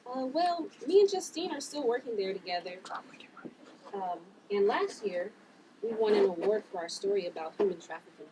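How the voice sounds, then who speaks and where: A woman's voice: medium pitch, expressive, normal speed, recorded from a distance, close to an everyday voice. A woman reading the part of a caring, exasperated sister who works as a TV producer, in a family drama table read. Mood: warm, playful. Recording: noisy room, off the mic.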